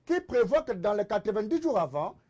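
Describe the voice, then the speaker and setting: A man's voice: sits high, very animated, brisk, darkish tone, highs cut off. A man with a Congolese accent arguing insistently in a political TV debate, repeating phrases for emphasis. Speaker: debate panelist, political commentator.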